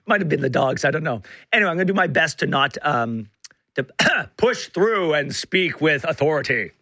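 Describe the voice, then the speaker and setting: A male talk radio host with a bright, forward voice that is a little hoarse and rough around the edges. It sits fairly high and is recorded dry and close in a studio. He talks emphatically, often stopping to rephrase himself. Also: lively intonation, normal speed, no echo. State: hoarse, rough voice from being unwell. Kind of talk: talk radio monologue and banter. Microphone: close studio broadcast mic.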